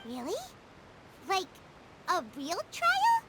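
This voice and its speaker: A woman voicing a small, curious, excitable fairy companion in a video game, with a squeaky childlike voice. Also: slow pace, heavy background noise, full character voice. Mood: curious, surprised. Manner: refers to herself in third person. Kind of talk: animated game dialogue. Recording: loud music behind.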